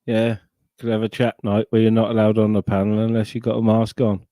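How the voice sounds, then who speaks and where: A man's voice: fairly low pitch, completely flat delivery, brisk, dark tone, no echo. A middle-aged man from Sussex with an English accent, chatting casually and swearing on a live stream into a desk microphone. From England. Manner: sweary, rambling banter. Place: home, live stream call.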